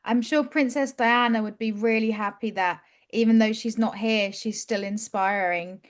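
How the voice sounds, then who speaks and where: Encouraging woman interviewer, fairly high voice. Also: steady tone, normal speed. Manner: encouraging, says "wow" often. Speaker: English teacher and interviewer. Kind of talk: friendly interview questions.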